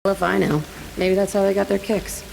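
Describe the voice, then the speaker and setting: A woman's voice: medium pitch, steady tone, a little fast, creaky, noisy background. An actress playing a tough, bitter young woman, speaking blunt and sardonic.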